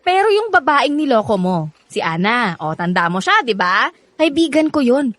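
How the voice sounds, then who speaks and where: Brash, bitter Filipino older sister, high and animated, blunt, theatrical radio drama. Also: female voice, moderate pace, dry recording. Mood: indignant, accusing. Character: bitter, brash, overprotective older sister, confrontational young woman.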